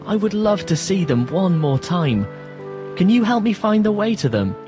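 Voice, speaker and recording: A man voicing a young, polite, sad duckling in a children's storybook, with earnest, simple, expressive delivery. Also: high voice, normal speed, soft background music. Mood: hopeful. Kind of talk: children's storybook app voice acting.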